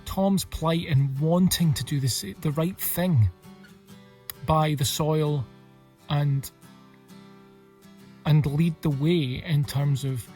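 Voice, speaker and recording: A man giving reflective interview talk in a thoughtful, halting way. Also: fairly high pitch, darkish tone. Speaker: project team member. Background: plucked guitar music.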